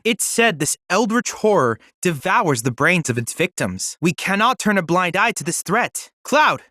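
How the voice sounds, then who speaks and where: A man's voice: high voice, bright, forceful tone, dry recording, studio quality, heavily acted. A man voicing a polite, eager teenage boy android research assistant in a video game, speaking in a precise, formal, articulate way. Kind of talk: scripted video game character dialogue.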